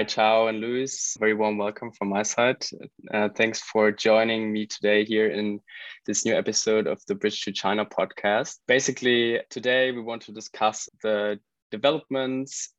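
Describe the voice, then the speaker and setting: A man with a fairly low voice hosts a podcast conversation. He interviews guests in a conversational way. The recording is dry and a little muffled. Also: dry recording. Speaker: podcast host.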